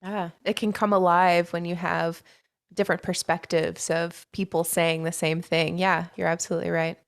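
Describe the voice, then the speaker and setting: A woman's voice: fairly low pitch, level tone, normal speed, creaky. A woman co-hosting a casual interview, agreeing and encouraging as she talks with her guest. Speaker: podcast co-host interviewing a guest.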